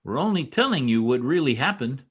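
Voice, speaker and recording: An actor playing an earnest, insistent young police trooper in an old-time radio drama, speaking with urgent emphasis. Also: male voice, medium pitch, sing-song, fairly quick, slightly rough.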